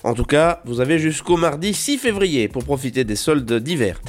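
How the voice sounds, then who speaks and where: A man presenting a radio news bulletin in a brisk, conversational way. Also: fairly high pitch, big pitch swings. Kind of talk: scripted radio newsreading.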